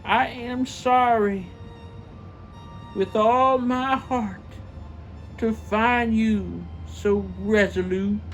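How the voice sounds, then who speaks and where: An earnest, warm-hearted young gentleman from a storybook, played by a woman, high in pitch. He pleads slowly and imploringly. Faint music plays behind him. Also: fairly even intonation. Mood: sorry, earnest. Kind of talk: theatrical storybook reading.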